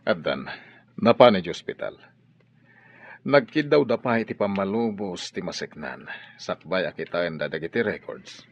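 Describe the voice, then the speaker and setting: A reassuring private detective in a radio drama. His low voice is gravelly and moves around in pitch, on a dull recording. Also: sing-song, normal speed, full character voice. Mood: businesslike, reassuring. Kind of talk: Ilocano radio drama acting.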